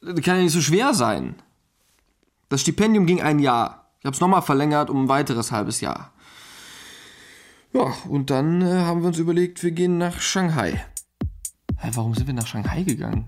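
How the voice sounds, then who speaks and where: Easygoing, halting German husband. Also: male voice, on the high side, expressive, faint music behind. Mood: wry, casual. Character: easygoing German husband, freedom-loving former student. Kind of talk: documentary radio play monologue.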